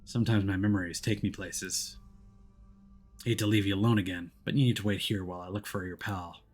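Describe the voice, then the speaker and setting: A man voicing a stubborn, hard-bitten male detective in a visual novel, with a gruff, terse delivery. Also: sits low, steady tone, brisk, a little mellow, faint music behind. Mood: calm, weary. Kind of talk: visual novel character reading.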